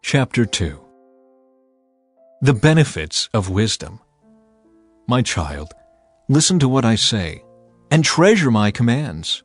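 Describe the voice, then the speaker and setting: A man giving a dramatized scripture reading in a solemn, measured, deliberate manner. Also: mid-range voice, big pitch swings, breathy edge, no echo. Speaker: Bible narrator. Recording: music behind the voice. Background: music.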